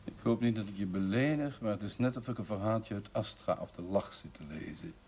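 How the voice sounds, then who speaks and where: A blunt northern village doctor. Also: male voice, lowish voice, a little fast, a little mellow. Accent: Dutch from the north, with hard G. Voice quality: blunt, lecturing, gruff. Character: blunt outspoken male village doctor, middle-aged. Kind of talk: 1970s TV drama acting. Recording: 1970s TV soundtrack with faint hiss.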